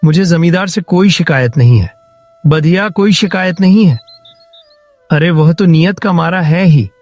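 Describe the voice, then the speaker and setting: A man's voice: a little high, a little slow, darkish tone, dry recording, faint music behind, natural voice. A man playing a poor, honest farmer in a cartoon moral story, earnest and given to repeating phrases for emphasis. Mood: wounded, resigned. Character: poor honest woodcutter farmer, kind and principled.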